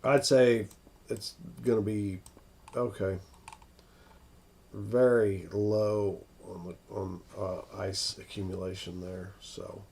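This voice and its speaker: Slow-talking American man, gravelly voice. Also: fairly low pitch, flat delivery, a little mellow. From northern United States. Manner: hesitant, halting, thinking aloud. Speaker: weather livestream host. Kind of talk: casual unscripted live commentary, answering viewer questions.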